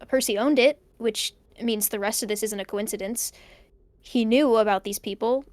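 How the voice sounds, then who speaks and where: A woman's voice: fairly high pitch, a little mellow, no room sound, lightly acted. A young woman playing a lonely, bookish student recording a hesitant, reflective audio diary for an audio drama. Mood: pensive, uneasy. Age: young adult. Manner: halting, reflective, trailing off. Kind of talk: intimate audio diary monologue.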